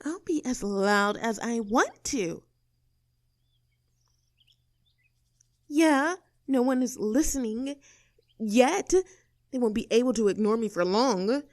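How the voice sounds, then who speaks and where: A woman's voice: a little high, expressive, measured pace, dry recording. Bratty young mean girl, acted by a woman, close and dry. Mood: indignant, whiny. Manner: bratty, sarcastic, indignant. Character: snooty, abrasive young mean girl under arrest. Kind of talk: acted audio roleplay drama, addressing the listener.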